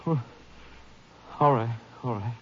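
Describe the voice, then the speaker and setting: An actor playing a nervous, cornered cowhand rustler, stammering and defensive, in a 1950s radio drama. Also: male voice, mid-range voice, slow, breathy edge. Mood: frightened confessing. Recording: muffled old radio broadcast.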